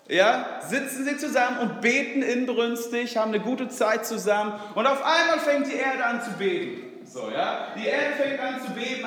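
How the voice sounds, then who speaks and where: A man's voice: very high-pitched, a bit bright. A man whose first language is Swedish, preaching an informal sermon in a chatty way, full of fillers and tag questions. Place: church hall. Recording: roomy hall reverb.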